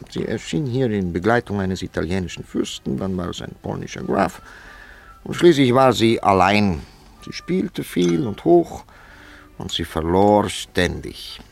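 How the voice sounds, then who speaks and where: Lively, creaky gentleman. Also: fairly low pitch, exaggerated voice. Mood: confiding, dry. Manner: polite, dry, deliberate. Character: courteous, reserved, well-informed English gentleman. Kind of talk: German radio drama acting. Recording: music behind the dialogue.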